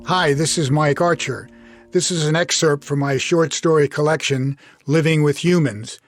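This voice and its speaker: A man's voice: fairly high pitch, steady tone, normal speed, soft background music. A man reading his own short story as scripted narration.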